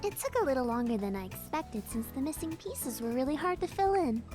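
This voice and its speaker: An actress playing an excited, proud young student engineer in a visual novel game. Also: female voice, sits high, fairly quick. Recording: music playing behind the voice.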